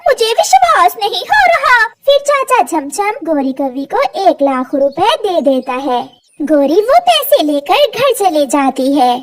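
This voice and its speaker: Female narrator for children's tales, very high voice, highly animated delivery. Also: slow pace. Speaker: story narrator.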